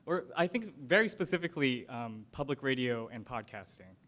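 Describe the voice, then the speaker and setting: A man's voice: animated, normal speed, fairly bright tone. A young man moderating a panel, asking questions in a hesitant, searching way. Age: young adult. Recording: rumbly background noise.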